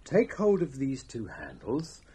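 A man's voice: mid-range voice, huge pitch swings, a little slow, heavy background noise. A man narrating and playing a pompous Victorian doctor in a comic radio play. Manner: pompous, theatrical. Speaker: narrator and lead actor.

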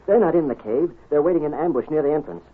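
A man's voice: on the high side, expressive, fast talker, slight background noise, natural voice. A man playing a scheming captured outlaw who pleads urgently for his life in an old-time radio western. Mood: insistent, pleading. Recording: tinny old radio broadcast.

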